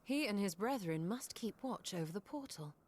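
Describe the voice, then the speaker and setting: Lady voicing a thoughtful game heroine, mid pitch, formal and measured, noisy background. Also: animated, darkish tone, close to an everyday voice. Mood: calm, thoughtful. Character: composed, thoughtful woman in a fantasy game. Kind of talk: dramatic video game voice acting.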